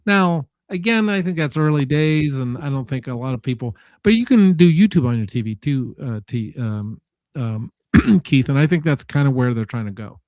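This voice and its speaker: A man in a casual tech discussion, speaking haltingly and correcting himself as he thinks aloud. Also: medium pitch, expressive, a little mellow, no echo.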